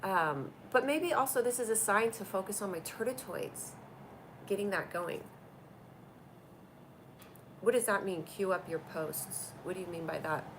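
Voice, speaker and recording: A woman's voice: on the low side, vocal fry, background noise. A woman with a cold and a stuffy voice, hosting a comedy live stream and chatting through viewer comments on a tablet. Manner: chatty and rambling, reacting to comments. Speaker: comedy show host doing a live stream.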